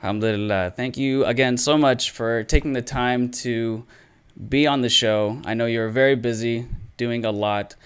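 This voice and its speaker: Smooth-voiced man hosting an interview. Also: medium pitch, fairly even intonation, normal speed. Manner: friendly, affirming, chatty questions. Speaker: podcast host, interviewer. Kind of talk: casual interview.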